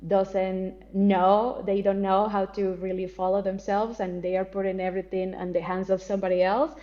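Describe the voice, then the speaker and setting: A woman's voice: mid-range voice, flat delivery, moderate pace, warm tone. Woman, super candid, swears casually, laughs a lot, muffled lo-fi sound. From Colombia. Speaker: guest, spiritual teacher. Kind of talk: casual interview conversation.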